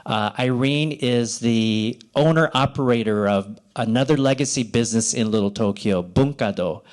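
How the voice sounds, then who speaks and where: Male, 60s. Event organizer making informal ceremony remarks, halting delivery with rephrasing. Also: mid-range voice, darkish tone. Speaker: event organizer giving thanks at an awards ceremony.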